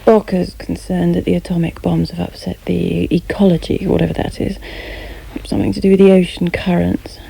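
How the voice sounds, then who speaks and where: An actress playing a teasing, flirtatious wife and reporter in a naturalistic radio drama, with a wry, intimate manner. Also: female voice, on the low side, flat delivery, fairly quick, creaky, dark tone, slight background noise, rough recording. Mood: intimate, confiding.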